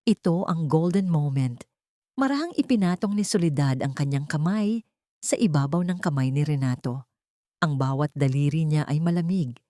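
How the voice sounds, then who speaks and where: Expressive Filipino woman narrating drama. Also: sits low, no echo, clean studio sound. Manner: theatrical, expressive. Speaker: audio story narrator.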